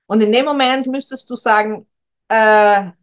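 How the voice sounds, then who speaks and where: A middle-aged woman, speaking as a communication coach in a thoughtful, hesitant way and addressing the listener directly. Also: on the high side. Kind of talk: reflective advice monologue. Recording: dull lo-fi recording.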